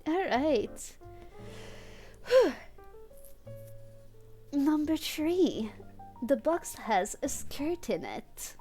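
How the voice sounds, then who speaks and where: High-voiced woman streamer, slow and playful. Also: big pitch swings, dark tone, soft background music. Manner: playful, mock-dramatic, breaks off for amused asides. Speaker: streamer and host. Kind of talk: livestream fan fiction read-aloud with joking asides. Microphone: headset.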